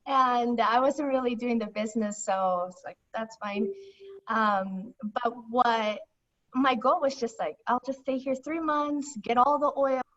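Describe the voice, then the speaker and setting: A woman's voice: fairly high pitch, fairly bright tone. A young woman from Ecuador, a foundation staff member, chatting casually as an interview guest over the phone, laughing often and using many fillers. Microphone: phone line. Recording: distant, muffled phone audio.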